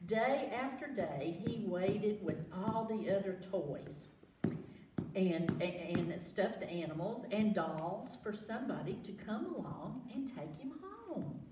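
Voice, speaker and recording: A woman leads a children's storytime with very lively, swooping pitch. She takes her time, repeating words and putting questions to her young listeners. She is recorded at a distance in an echoey room with some noise. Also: slow pace. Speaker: library storytime host. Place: echoing room with children present. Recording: roomy, distant mic with background noise.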